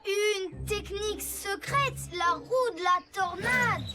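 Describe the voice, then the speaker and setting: A woman's voice: very high voice, slow pace. A woman voicing a young boy rescue motorbike, shy but brave, in a French children's cartoon with an earnest manner. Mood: nervous, hesitant. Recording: music behind the voice.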